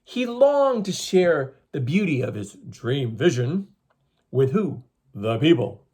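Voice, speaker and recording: Animated, husky male storyteller for children. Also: fairly high pitch, slow pace. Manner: teacherly, emphatic, addresses listeners with questions. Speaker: storyteller reading to schoolchildren. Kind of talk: expressive children's story read-aloud.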